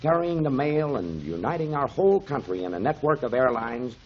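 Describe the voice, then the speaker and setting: An actor playing a kindly, avuncular mentor explaining things to children in emphatic 1930s radio style, on a muffled old recording. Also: male voice, medium pitch, sing-song, a little fast, darkish tone, a little hiss or hum, lightly acted. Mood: enthusiastic explaining. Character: kindly grown man, storytelling mentor to children, pipe smoker.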